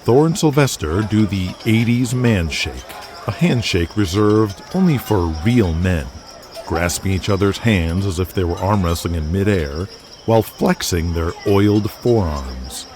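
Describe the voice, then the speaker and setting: A man narrating an audio drama in present tense with vivid, deliberate storytelling. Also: sits low, animated, background noise.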